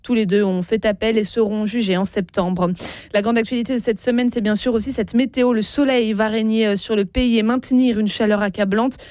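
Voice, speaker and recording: Woman, lightly high pitch, close dry studio, scripted news and weather reading. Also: fairly even intonation, fairly quick, a little mellow, no echo. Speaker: newsreader.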